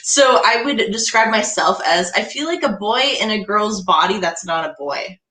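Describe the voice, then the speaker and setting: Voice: mid-range voice, moderate pace, pushed and bright, echoey room. A young adult host chatting casually on a stream, easygoing and laughing often between filler words. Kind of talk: casual podcast chat. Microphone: headphones while streaming.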